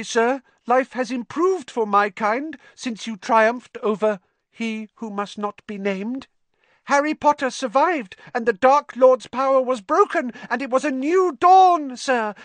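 A man voicing an anxious, servile little male house-elf in a storybook, with a squeaky, stammering, pleading manner. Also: no room sound. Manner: stammering, pleading, speaks of self in third person.

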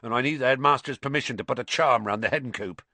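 A man voicing a huge, gruff, kindly gamekeeper in an audiobook, blunt and homely. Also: mid-range voice, fast talker, pushed and bright, no room sound, pitched above the performer's own voice, lightly acted. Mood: concerned. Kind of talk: audiobook character acting.

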